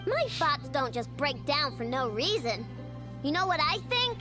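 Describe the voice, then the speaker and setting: A stubborn young girl mechanic in a video game. The voice is very high and feisty, with theatrical stress, stretched words and lively pitch movement. Music plays clearly behind the lines. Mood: indignant. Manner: emphatic, theatrical, drawn-out words.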